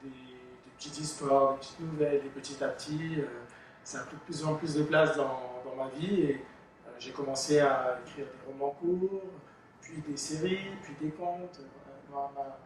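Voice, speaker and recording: A middle-aged man from the Republic of the Congo, an author answering interview questions in a measured, hesitant way with many fillers. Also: fairly high pitch, steady tone. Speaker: author and illustrator guest. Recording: echoey room, distant mic, faint noise.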